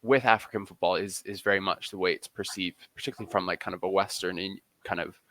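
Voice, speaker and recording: A man's voice: low voice, steady tone, fast talker, fairly bright tone. A man speaking as an interview guest, explaining things in a casual, conversational way with frequent "kind of" and "you know". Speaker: interview guest, football journalist.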